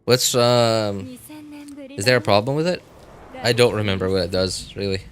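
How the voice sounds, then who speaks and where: A man chatting casually to viewers as a game livestreamer, rambling and often repeating himself in a halting way. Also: fairly low pitch, faint music behind.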